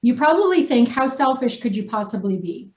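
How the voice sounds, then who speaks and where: A woman's voice: fairly high pitch, sing-song, a little fast, a little mellow. A woman from New Hampshire hosting, chatty and informal, with casual slangy phrasing. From New Hampshire, United States. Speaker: host and co-author. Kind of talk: casual unscripted group chat, reading aloud from a personal story. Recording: dull, echoey room sound.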